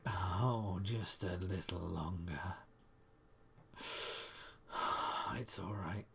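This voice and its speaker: Male intimate-audio performer: soft, breathy, frequently whispered, unhurried drawl, reassuring sighs. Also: low voice, slight background noise. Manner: murmuring, sighing, reassuring.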